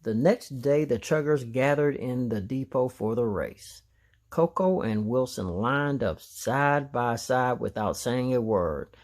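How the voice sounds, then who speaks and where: A man's voice: mid-range voice, expressive, slow. A man reading a children's story aloud as narrator. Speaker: storybook reader.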